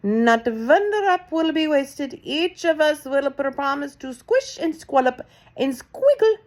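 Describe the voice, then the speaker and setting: A woman's voice: high voice, very animated, takes it slowly, darkish tone, pitched above the performer's own voice, exaggerated voice. A chorus of very old witches, as a woman reader puts them on, gush their thanks in a raised, lilting voice. They sound fawning and eager to please. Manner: grovelling, gushing.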